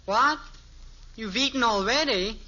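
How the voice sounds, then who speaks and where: A man's voice: very high-pitched, measured pace, pushed and bright, exaggerated voice. An actor playing a guileless, food-loving American teenage boy, easygoing and boyish, in the style of a 1950s radio sitcom. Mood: casual, eager. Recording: very noisy, muffled old radio recording.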